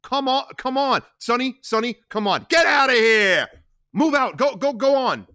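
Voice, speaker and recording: A storyteller voicing a calm family lawyer who gives smooth, evasive reassurances. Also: mid-range voice, moderate pace, no echo, studio quality. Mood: urgent.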